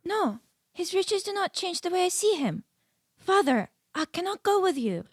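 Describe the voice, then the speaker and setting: A woman's voice: very high-pitched, big pitch swings, moderate pace, no room sound, clean studio sound. A woman playing a devoted, anxious young daughter in a radio play, pleading earnestly. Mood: defiant.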